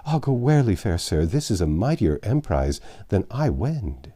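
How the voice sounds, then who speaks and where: A man's voice: lowish voice, sing-song, moderate pace, warm tone, slightly rough. A man reading a storybook part as an earnest, adoring young medieval damsel with reverent, archaic speech. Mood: fearful awe.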